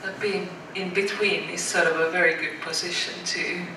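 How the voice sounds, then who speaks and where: A woman's voice: fairly low pitch, steady tone, moderate pace. A woman moderating a panel, putting questions to the speakers. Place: dim auditorium with seated audience. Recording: echoey noisy hall, far from mic.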